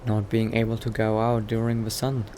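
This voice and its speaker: A man playing a thoughtful scholar who is turning into a vampire, musing aloud haltingly in an improvised roleplay monologue. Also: fairly low pitch, monotone, dark tone, a little hiss or hum, natural voice.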